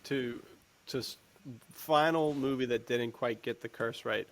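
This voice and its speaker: A man's voice: mid-range voice, unhurried, slight background noise. A man co-hosting a podcast, chatting about films in an informal, rambling, slangy way. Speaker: podcast co-host. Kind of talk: casual chat, film commentary.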